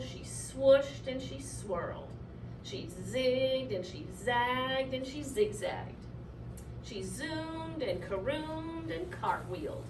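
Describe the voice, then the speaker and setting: A woman reading a children's story aloud in an expressive, animated way, drawing out key words for effect. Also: high voice. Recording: roomy, distant mic, clearly noisy.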